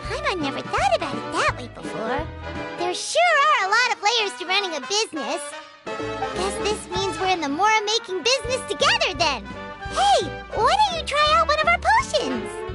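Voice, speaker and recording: A woman voicing a small, childlike fairy companion in a video game, squeaky, curious and excitable. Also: moderate pace. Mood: chirpy. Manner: speaks of herself in third person, exclaims easily. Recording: studio voice under loud playful music.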